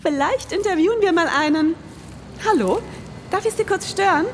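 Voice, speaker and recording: A woman's voice: extremely high pitch, normal speed, slight background noise. A woman in her forties co-hosting a scripted, performative podcast with an explanatory delivery. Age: 40s. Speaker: podcast co-host. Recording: long reverb, music underneath.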